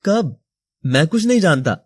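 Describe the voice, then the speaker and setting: An actor playing a troubled, jealous young husband in an audio story drama, earnest and confused. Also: male voice, fairly high pitch, big pitch swings, measured pace, a little mellow, slightly rough, no room sound, studio quality, exaggerated voice. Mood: confused, explaining. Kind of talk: acted Hindi audio story dialogue.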